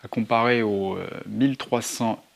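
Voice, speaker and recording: A man's voice: lowish voice, fairly even intonation, normal speed. A man narrating a casual hands-on tutorial to his viewers, thinking aloud as he handles things. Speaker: motorcycle vlogger presenting gear. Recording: clear background noise, handling clicks.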